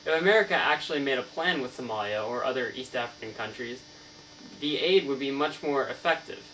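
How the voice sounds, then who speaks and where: An earnest, learned novelist, acted by a man, answers interview questions in formal, recited sentences. He trips over the odd word. The voice sits at a middling pitch, recorded away from the mic with mains hum underneath. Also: lively intonation, fairly quick, sharp tone, distant mic, poor audio. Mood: earnest, explanatory. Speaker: student playing an interviewed author. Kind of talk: scripted mock interview answers.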